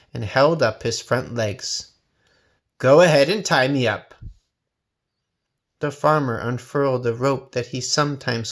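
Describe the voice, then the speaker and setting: A man reading a children's story aloud as an expressive narrator. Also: medium pitch. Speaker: story reader.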